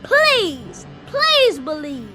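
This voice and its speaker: Very high-voiced earnest little boy. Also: wildly swooping pitch, drawn-out words, heavily acted. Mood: pleading. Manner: pleading, simple childlike phrasing. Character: earnest young boy, devoted, believes in magic. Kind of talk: radio drama acting. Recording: radio drama with music underneath.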